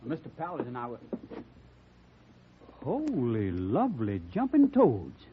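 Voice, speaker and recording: An actor playing a bashful, flustered young cowboy who stammers in a folksy Western drawl in an old radio drama. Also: male voice, medium pitch, huge pitch swings, unhurried, noisy background. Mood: dumbstruck. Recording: thin narrowband vintage radio recording.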